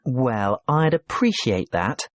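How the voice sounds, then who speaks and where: A man playing a polite businessman checking into a hotel in a scripted listening-test dialogue, courteous and clearly spoken. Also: medium pitch, lively intonation, takes it slowly, no room sound.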